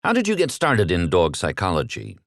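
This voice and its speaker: A man's voice: deep voice, huge pitch swings, normal speed, creaky, no room sound, studio quality. A man with an American accent delivering scripted introductions and interview questions in a polished, formal announcer manner. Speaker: interviewer, audiobook narrator.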